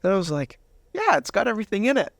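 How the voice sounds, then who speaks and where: A man's voice: high voice, huge pitch swings, fast talker, creaky, a bit bright, dry recording. A man giving a personal testimony as an interview guest, retelling moments with quoted dialogue acted out.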